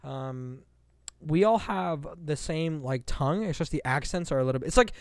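A man's voice: a little high, animated, moderate pace, darkish tone, dry recording, studio quality. A man from Iran, whose first language is Farsi, co-hosting a casual podcast and rambling with lots of fillers and swearing. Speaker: podcast co-host.

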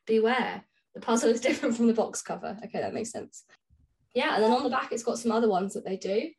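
Woman podcast host, highish voice, casual chat, bit of dry teasing. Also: fast, darkish tone. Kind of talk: casual chat between friends.

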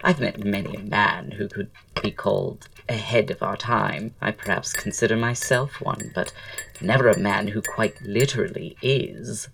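An actor playing a witty, flirtatious poet and dandy in an audio drama, speaking in florid, arch, self-assured period style. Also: male voice, fairly low pitch, steady tone, moderate pace, vocal fry, fairly bright tone, distant mic. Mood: charmed, flattering. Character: languid, witty, flirtatious male poet-playwright dandy, proud.

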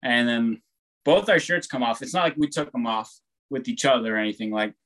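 A young man chatting casually, rambling and laid-back, who swears freely and talks loud. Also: mid-range voice, brisk. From born on the West Coast, raised on the East Coast of the US. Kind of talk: casual chat.

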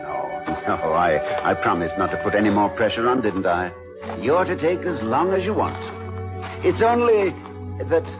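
Courtly college president, very lively pitch, playfully pompous and whimsical, dull old radio sound w/ music. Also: male voice, moderate pace. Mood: earnest. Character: witty, courtly American college president in love. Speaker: actor in a radio drama. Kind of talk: 1950s radio drama acting.

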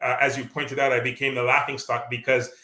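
A man's voice: mid-range voice, little pitch movement, brisk, sharp tone, reverberant. A Canadian man with a Canadian accent, an author speaking earnestly in an interview, with many fillers and restarts. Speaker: author guest. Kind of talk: reflective interview talk.

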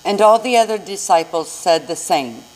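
A woman's voice: lowish voice, animated, moderate pace, darkish tone, a little hiss or hum, poor audio. A woman narrating a scripted scripture reading in church. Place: inside a church.

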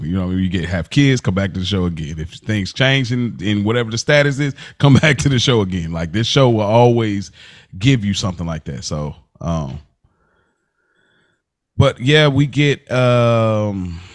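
A man in his thirties or older with an American accent, hosting a casual TV recap chat and thinking out loud. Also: lowish voice. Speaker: podcast host.

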